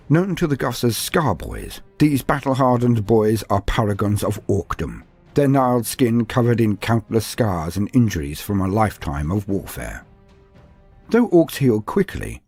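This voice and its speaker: Male narrator, husky, highly animated pitch, theatrical fantasy storytelling, music underneath. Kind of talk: dramatic scripted narration.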